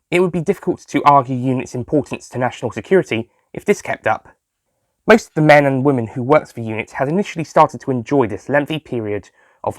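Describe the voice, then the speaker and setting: A man's voice: medium pitch, no echo, clean studio sound. A man reading scripted story narration as the narrator of an audio tale. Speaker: author and narrator.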